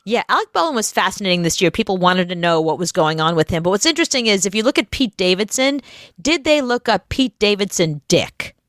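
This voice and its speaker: Animated, chatty woman co-hosting a talk show. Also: mid-range voice, a little fast, no echo, studio quality. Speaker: radio show co-host. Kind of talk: casual comedic chat.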